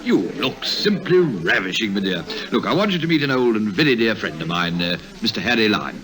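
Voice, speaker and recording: A man's voice: fairly low pitch, sing-song, fairly quick, a bit bright, rough recording. A man playing a jovial, garrulous upper-class old duffer with hearty, genteel manners in a 1950s radio drama.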